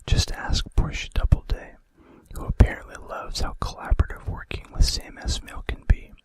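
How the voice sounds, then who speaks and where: A man narrating facts for an ASMR video in a breathy, unvoiced whisper with casual, conversational asides. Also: moderate pace.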